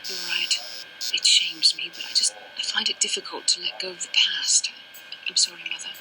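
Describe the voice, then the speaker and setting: A woman's voice: fairly low pitch, steady tone, normal speed, bright, forceful tone, in a whisper, low-quality recording. A woman playing a proud, guarded young warrior-monk and resentful daughter in a video game, speaking formally, clipped and earnest. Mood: ashamed, sorrowful. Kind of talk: dramatic scripted game dialogue.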